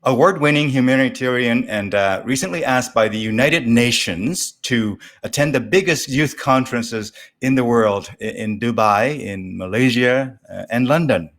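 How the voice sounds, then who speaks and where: A man hosting an interview show, speaking in a halting, measured way as he addresses his guest. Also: medium pitch, a bit bright. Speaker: talk show host.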